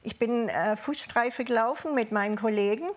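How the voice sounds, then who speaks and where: A woman's voice: fairly high pitch, moderate pace. Woman from southern Germany, candidly reminiscing. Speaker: interview guest, former police officer. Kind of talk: personal recollection in conversation.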